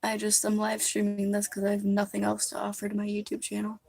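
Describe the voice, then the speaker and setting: Young woman, casual rambling streamer, gravelly husky voice, soft and musing. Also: mid-range voice, monotone, quick pace, no room sound. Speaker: vlogger live streaming makeup. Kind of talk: casual live stream chat.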